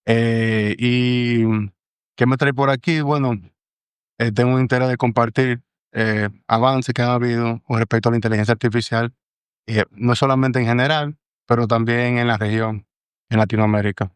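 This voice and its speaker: A man in his thirties with a Dominican accent, giving measured, explanatory answers as a guest on an interview podcast, pausing often with "eh". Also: medium pitch, fairly even intonation, clean tone, no echo. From Dominican Republic. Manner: measured, explanatory, frequent "eh" pauses. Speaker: guest, AI governance expert.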